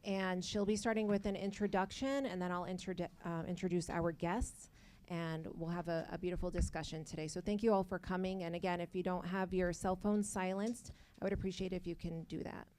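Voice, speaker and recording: A woman's voice: fairly low pitch, steady tone, fairly quick, a little mellow, a little hiss or hum. A woman host opening a panel event informally and addressing the audience. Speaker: event host and club advisor. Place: college library event.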